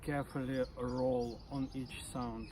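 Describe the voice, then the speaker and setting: A man in his forties with a Russian accent talks through his stutter, blocking on sounds and repeating them in casual conversation. His voice sits at an ordinary middle pitch. The recording is noisy, taken outdoors with birds calling behind him. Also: flat delivery, measured pace. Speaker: interview guest.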